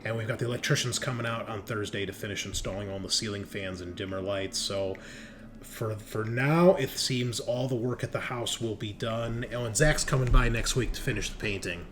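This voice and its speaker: A man's voice: on the low side, normal speed, a bit bright. A man hosting in a casual, enthusiastic way, chatting in slang with plenty of swearing. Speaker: host and game master. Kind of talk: casual chat. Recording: noticeable background noise.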